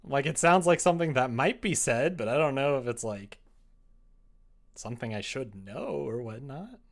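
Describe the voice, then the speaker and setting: A man's voice: mid-range voice, lively intonation, normal speed. Offhand young man chatting on a live stream. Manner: offhand, muttering to himself and reading chat aloud. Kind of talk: casual live-stream chat while typing. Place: small indoor room at a computer.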